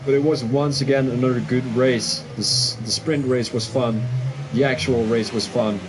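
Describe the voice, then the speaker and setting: A man from Belgium with a Belgian accent, a Dutch speaker commentating a sim race live, excitable and quick to second-guess his calls. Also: mid-range voice, background noise.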